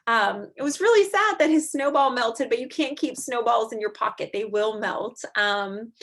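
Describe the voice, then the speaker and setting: Expressive high-voiced woman reading a storybook aloud. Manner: expressive, drawn-out storybook reading with chatty asides. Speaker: teacher reading aloud to young children. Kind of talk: read-aloud storytime with questions to children.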